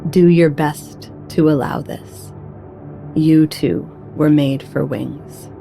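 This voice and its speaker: A woman with a low, mellow voice reads poetic spiritual meditation very slowly. Her phrasing is solemn and deliberate, in the manner of an audiobook narrator. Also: gravelly, soft background music.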